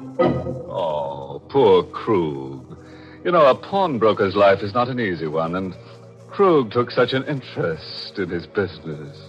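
A ghoulish, tongue-in-cheek radio horror host. The voice is deep and lively, mock-sympathetic and darkly amused, on a muffled 1940s recording. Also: male voice, takes it slowly, faint music behind, full character voice. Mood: ghoulishly amused. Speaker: show host. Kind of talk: 1940s radio mystery hosting.

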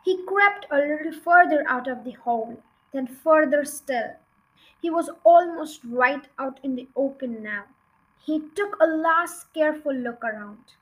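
A woman's voice: high-pitched, a little slow, rough recording. A woman reading a children's storybook aloud as an audiobook narrator. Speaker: audiobook reader.

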